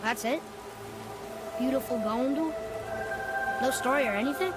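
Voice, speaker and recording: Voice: high voice, normal speed, over loud music, close to an everyday voice. An actor voicing an eager, curious young boy adventurer in a video game, earnest and inquisitive. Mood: puzzled. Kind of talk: video game dramatic acting.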